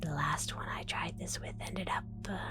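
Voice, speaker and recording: A woman's voice: deep voice, fairly even intonation, normal speed, lightly acted. A woman whispering as a giggly mad scientist. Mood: sly whisper. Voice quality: soft whisper. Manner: giggling, stammering, falsely reassuring. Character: giggly, scatterbrained female mad scientist, cheerfully ominous. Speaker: ASMR roleplay performer. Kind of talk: ASMR roleplay.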